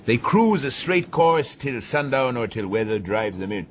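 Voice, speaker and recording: An actor playing a domineering, hard-driving sea captain in a radio drama, blunt and commanding. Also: male voice, mid-range voice, big pitch swings, moderate pace, a little hiss or hum, natural voice. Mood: stern, menacing.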